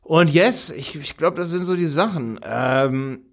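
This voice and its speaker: Animated man in his twenties. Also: on the high side, measured pace, creaky. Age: 20s. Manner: animated, emphatic, laughs often. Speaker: podcast co-host. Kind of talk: casual chat.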